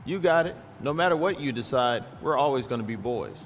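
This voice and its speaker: A man's voice: medium pitch, lively intonation, fairly quick, faint music behind, natural voice. A man playing a supportive, encouraging basketball teammate in a video game cutscene, talking casually with slang. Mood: warm encouragement.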